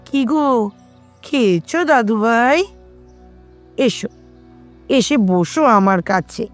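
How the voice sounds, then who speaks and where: A woman's voice: on the high side, expressive, takes it slowly, faint music behind. A woman playing an affectionate old grandmother and household matriarch in a Bengali audio story, with tender, coaxing delivery.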